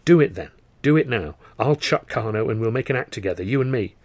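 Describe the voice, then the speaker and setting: A man's voice: mid-range voice, animated, brisk, darkish tone, no echo. A man voicing an earnest, lovestruck young man in an audiobook, fervent and pleading. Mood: urgent, pleading. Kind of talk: audiobook character voice.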